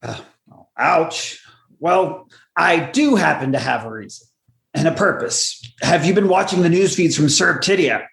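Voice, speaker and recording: A man's voice: on the high side, expressive, a little slow, creaky, a bit bright, echoey room, exaggerated voice. A man acting a needy, insistent space lieutenant with a hesitant manner in an audio drama. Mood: hurt, insistent.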